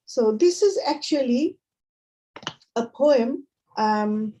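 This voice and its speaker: A woman with a Bengali accent reading her poetry and introducing it to listeners in short, deliberate phrases. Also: on the high side, animated, dark tone. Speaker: poet.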